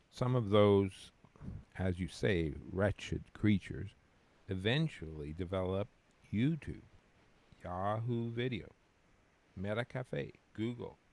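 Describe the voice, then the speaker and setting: A man playing a patient, deadpan companion to an immortal, in a measured scripted audio drama style. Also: on the low side, gravelly, exaggerated voice.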